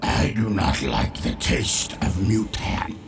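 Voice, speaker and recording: A man's voice: sits low, big pitch swings, slow, very noisy, exaggerated voice. A man playing a proud, menacing monster king in an audio drama, blunt and declaiming in a boastful, theatrical way. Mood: grim, hungry. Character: proud, menacing monster king who devours his enemies. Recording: loud music under the voice.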